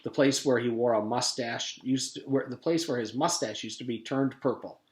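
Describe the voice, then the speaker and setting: A man's voice: mid-range voice, moderate pace, roomy sound. A man reading a children's story aloud as a teacher would to his class. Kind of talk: storybook read-aloud with character voices.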